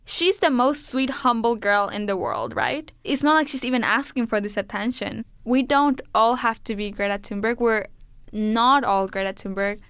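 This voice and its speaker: A woman climate activist telling a story in an interview, animated and candid. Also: a little high, normal speed, smooth, dry recording, studio quality.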